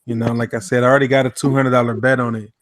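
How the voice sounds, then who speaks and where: A man hosting a casual boxing podcast, laid-back and tipsy, talking in a slow, mellow way. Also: medium pitch, little pitch movement, background noise. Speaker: podcast host. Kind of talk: casual chat.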